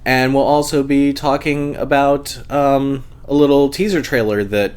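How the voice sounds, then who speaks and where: A man hosting a casual live book club stream, a little congested, talking in a relaxed, hesitant way full of fillers as he reads viewer comments aloud. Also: mid-range voice, a little slow, clear, resonant tone. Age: adult, long-time convention goer.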